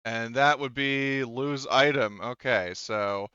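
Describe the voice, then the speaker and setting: Man, game master, casual tabletop narration, smooth voice, sweary, dry sound. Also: medium pitch, takes it slowly, pushed and bright, no echo, highs cut off. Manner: sweary, laughs readily.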